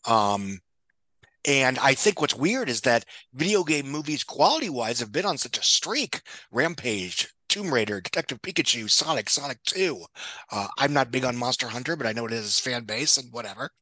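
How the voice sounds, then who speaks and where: A man with a bright, forceful voice and a medium pitch holds forth as a podcast guest. He is blunt and sardonic, swears freely and wanders into asides. The recording is close and dry. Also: animated, normal speed, no room sound. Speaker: guest film box office pundit. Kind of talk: opinionated podcast discussion.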